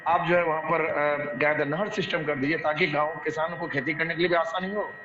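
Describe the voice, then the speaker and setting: A man's voice: on the high side, fairly even intonation, noisy background. A man with a Bihari accent discussing local issues as a guest, repeating himself and searching for words. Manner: halting, repetitive, searching for words. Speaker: local activist guest in online debate. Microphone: online call. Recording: echoey muffled online call, background crowd murmur.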